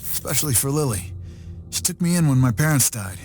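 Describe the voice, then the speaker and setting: An actor voices a blunt, wisecracking young male ranger in a video game cutscene, cursing freely. His pitch moves in a lively way through his lines. Game music plays clearly behind him. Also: brisk, close to an everyday voice. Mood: wry. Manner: wry, blunt, swearing. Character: gruff young male ranger orphan hero.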